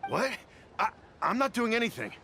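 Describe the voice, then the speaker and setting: An actor playing a nervous, cornered underling in a video game, flustered and defensive. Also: male voice, high-pitched, sing-song, moderate pace, bright, forceful tone, a little hiss or hum, full character voice. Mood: startled, flustered. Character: nervous, cornered male underling sent to spy. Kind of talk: scripted video game dialogue.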